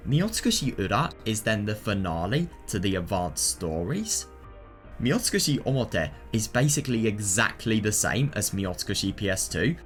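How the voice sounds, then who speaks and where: A man presenting a video guide in a scripted, methodical, instructional way. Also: fairly low pitch. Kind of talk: scripted explanatory narration. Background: background music.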